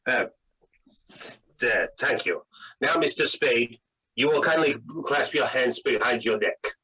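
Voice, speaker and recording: Radio noir schemer, played by a man: polite, nervous, insistent, stammering, bright husky tone. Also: mid-range voice, exaggerated voice, low-quality recording. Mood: nervously insistent. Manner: halting, stammering, formal and courteous. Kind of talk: 1940s radio drama read-through. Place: video call read-through.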